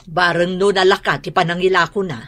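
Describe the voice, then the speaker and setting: A woman's voice: on the low side, fairly even intonation, a little fast, fairly bright tone. A woman playing an exasperated, nagging mother in a radio drama, with a northern Philippine accent. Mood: curious.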